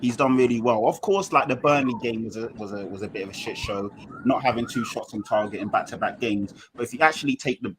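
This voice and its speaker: A London man with a mid-pitched voice talks football as a guest fan. He explains his points in slangy, emphatic repetition, over clearly audible background noise on an online call. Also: quick pace, rough recording. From London, England. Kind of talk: casual football chat.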